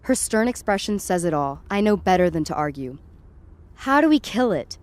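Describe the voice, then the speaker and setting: A woman's voice: mid-range voice, big pitch swings, moderate pace, darkish tone, lightly acted. A woman playing a frightened, sceptical, foul-mouthed young woman in a first-person audio drama. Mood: agitated, disbelieving. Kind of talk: first-person present-tense audio drama narration and dialogue.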